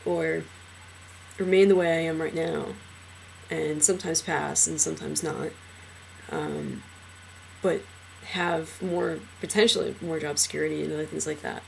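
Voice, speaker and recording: A young transgender man in a casual personal vlog, talking hesitantly with many fillers and restarts, his trained low voice deepened further by a cold. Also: fairly even intonation, unhurried, vocal fry, a little hiss or hum, poor audio. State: voice lowered by illness.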